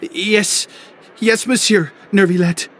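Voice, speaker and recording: A woman's voice: mid-range voice, fairly even intonation, takes it slowly, slight background noise, lightly acted. A woman playing a timid underling in a video game, nervous, hesitant and stammering. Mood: nervous, defensive.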